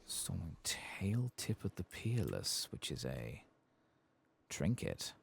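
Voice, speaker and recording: A man giving soft-spoken ASMR commentary over a video game, murmuring and sometimes slipping toward a whisper. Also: sits low, wildly swooping pitch, slow, a little hiss or hum. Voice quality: soft, husky, gravelly. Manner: murmuring to himself, partly whispering.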